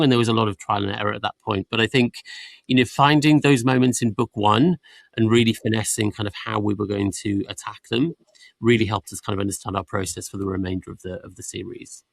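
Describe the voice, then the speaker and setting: A man's voice: lowish voice, fairly even intonation, fast, gravelly, no echo. A man who leads a production team, explaining creative decisions in a conversational interview. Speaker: audio production team lead. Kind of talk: explanatory panel interview talk.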